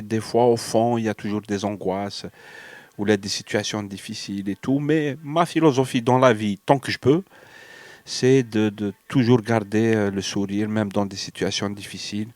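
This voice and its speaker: A man's voice: fairly low pitch, darkish tone, no echo. A man from Algeria with an Algerian French accent, sick with a cold and fever, talking in a rambling, hesitant way and slipping between French and Arabic in a personal monologue. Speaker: vlogger.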